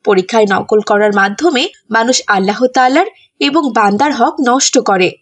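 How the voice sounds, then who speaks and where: A woman's voice: on the high side, normal speed, close to an everyday voice. A woman voicing a strict female schoolteacher in a children's cartoon, instructing like someone used to being obeyed. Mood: firm, moralizing. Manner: scolding, instructive, ends orders with check questions.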